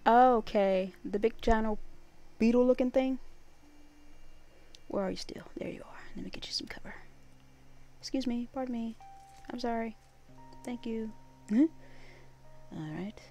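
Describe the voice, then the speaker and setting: A woman's voice: fairly high pitch, measured pace, pauses often, mellow, a little hiss or hum. A woman livestreaming a game with casual, playful, chatty live commentary. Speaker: gaming livestreamer.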